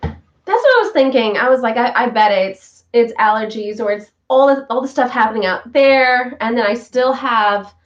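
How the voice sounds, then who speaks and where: A woman talks in a loose, rambling way as she hosts a morning chat for her viewers from home. Her voice sits a little high and sounds slightly stuffy from sinus trouble. The recording is muffled and lo-fi. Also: normal speed. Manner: chatty, rambling, animated. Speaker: vlog host, voice worker.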